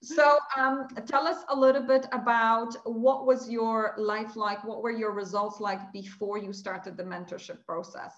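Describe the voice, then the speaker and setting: A woman's voice: fairly high pitch, fairly even intonation, a little slow, few pauses, a bit bright, highs cut off. Upbeat woman host, echoey call audio. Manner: upbeat, encouraging, many affirming fillers. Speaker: mentor, interview host. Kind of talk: interview on video call.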